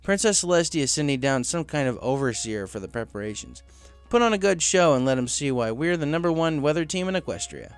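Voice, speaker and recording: A man's voice: a little high, sing-song, fairly quick, hardly any pauses, clear, resonant tone, soft background music. A man voicing a confident, encouraging male pegasus pony boss in a story, assured and businesslike yet casual. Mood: reassuring.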